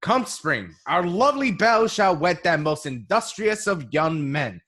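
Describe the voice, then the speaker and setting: A young man playing a jovial, pompous older gentleman and proud father, making grand theatrical announcements in a radio play. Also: high-pitched, very animated, unhurried, husky, full character voice. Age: young adult.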